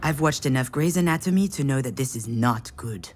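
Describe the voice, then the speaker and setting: Anxious, wry woman, animated pitch. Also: brisk, a little hiss or hum. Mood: wry, subdued. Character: anxious, sardonic, self-deprecating failed screenwriter, adult daughter. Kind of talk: visual novel voice acting, inner monologue and dialogue.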